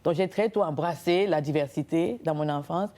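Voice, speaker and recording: A woman's voice: low voice, normal speed, dark tone. A woman from Cameroon, a guest entrepreneur and author, talking thoughtfully in an interview with frequent hesitations and rhetorical questions. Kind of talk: conversational interview.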